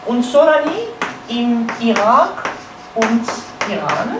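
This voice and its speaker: A woman in her thirties from Turkey with a Kurdish accent, lecturing at a university seminar in halting speech with many fillers. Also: fairly high pitch, sing-song. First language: Kurmanji Kurdish. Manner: halting, many fillers, gestures while explaining. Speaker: guest lecturer. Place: university seminar room, indoors. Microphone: far camera. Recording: echoey classroom, faint noise.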